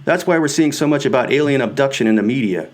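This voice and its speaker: A man's voice: medium pitch, animated, fast, a little mellow, voice pushed up, natural voice. A man reading as an earnest, grave conspiracy theorist, insistent and confiding, in an audiobook.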